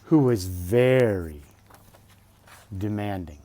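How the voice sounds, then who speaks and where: A man reading a children's picture book aloud expressively, drawing out words, pausing and adding casual asides. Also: lowish voice. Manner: expressive, with drawn-out words and pauses. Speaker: storybook reader.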